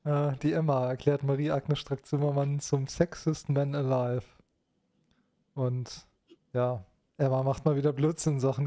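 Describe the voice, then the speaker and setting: A man's voice: medium pitch, mellow. A man chatting casually as a co-host, with a wry, joking manner. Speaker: co-host of a live online talk show. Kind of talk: casual chat.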